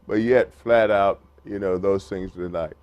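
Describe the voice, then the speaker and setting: A man speaking at a press conference for a retired players group, halting, with many fillers and restarts. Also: deep voice, fairly even intonation, darkish tone, smooth, no room sound. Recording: muffled lo-fi recording.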